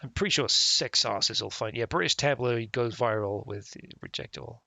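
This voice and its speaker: A man's voice: medium pitch, moderate pace, vocal fry, bright, forceful tone, dry recording. A man live-streaming a game, reading its text aloud and adding casual, sweary, offhand comments, with game music behind him. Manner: casual, profane, offhand asides.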